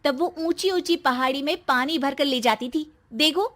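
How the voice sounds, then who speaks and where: A woman's voice: high voice, normal speed, fairly bright tone. A woman playing an impatient young wife who scolds and mocks in a comic story. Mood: scolding. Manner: scolding, mocking, exasperated. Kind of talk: acted dialogue in a Hindi comic story.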